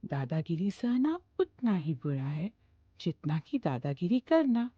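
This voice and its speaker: A gentle old grandmother , voiced by a woman, explains things patiently in a cartoon. Her voice is low and a little husky, and she takes her time over every phrase. The recording sounds dry and slightly dull. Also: big pitch swings, a little slow, no room sound, exaggerated voice. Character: kindly grandmother telling a story.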